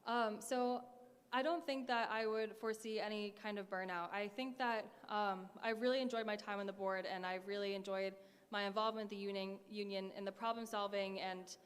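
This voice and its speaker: A woman's voice: medium pitch, steady tone, fairly quick, clear, resonant tone. A woman in her twenties, a student candidate answering debate questions, hesitant with many fillers and restarts. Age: 20s. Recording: echoey hall.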